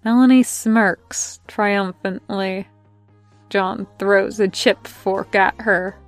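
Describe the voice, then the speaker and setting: A woman reading a fan story aloud as an audiobook narrator, in a measured, even way. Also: mid-range voice, soft background music. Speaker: podfic reader.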